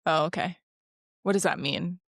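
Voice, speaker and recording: Female co-host, low gravelly voice, deadpan one-liners. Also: normal speed, no room sound. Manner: dry, deadpan quips. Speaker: podcast co-host. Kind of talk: casual chat.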